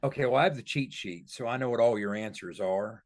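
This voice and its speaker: Man, mid-pitched voice, sports podcast analyst, conversational, emphatic, very dry room. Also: fairly quick, no room sound. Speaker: football analyst, podcast co-host.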